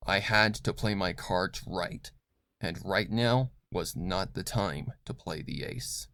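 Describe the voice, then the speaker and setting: A man's voice: low voice, slow pace, creaky, fairly bright tone, no echo, clean studio sound. A man reading fan fiction as a scripted, dramatic narration with a grave, suspenseful delivery.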